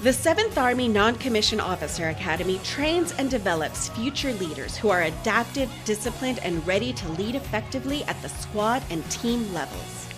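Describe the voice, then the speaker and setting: Female narrator for an institutional video; formal, animated intonation, music underneath. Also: mid-range voice, moderate pace, few pauses. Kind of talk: scripted corporate military video narration. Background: music bed.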